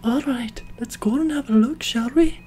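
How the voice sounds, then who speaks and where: A man's voice: very high-pitched, moderate pace, dark tone, slight background noise, pitched above the performer's own voice. A man raising his voice to play a plucky young adventurer companion, reading game dialogue in a folksy, lilting way. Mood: eager. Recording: faint game music behind.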